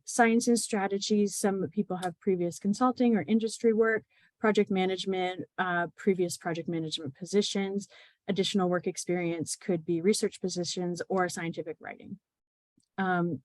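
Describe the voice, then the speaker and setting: A woman's voice: medium pitch, a little mellow, dry recording. A woman presenting slides in a webinar, reading through lists of items with frequent ums. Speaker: company presenter.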